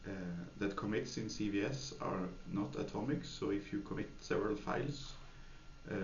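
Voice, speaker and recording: Gravelly man, echoey room. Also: on the low side. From Sweden. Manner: hesitant, many fillers and restarts. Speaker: software developer, interviewee. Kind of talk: thoughtful interview answers. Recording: echoey room, dull lo-fi sound, faint noise.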